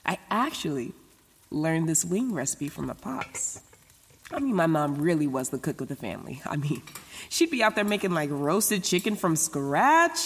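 A woman's voice: deep voice, sing-song, normal speed, big-room sound, slight background noise. An actress playing an anxious, chatty young woman who rambles casually and swears in a naturalistic audio drama monologue. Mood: wistful. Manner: rambling, casual, sweary, stop-start self-talk. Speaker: lead actor in an audio drama.

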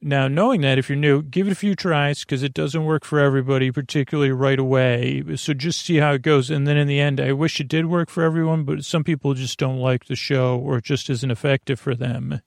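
A man hosting a sleep podcast in a meandering, halting, self-deprecating monologue, often repeating himself and trailing off, with a gravelly voice. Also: medium pitch, fairly even intonation, dry recording, studio quality. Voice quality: creaky, gravelly. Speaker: podcast host.

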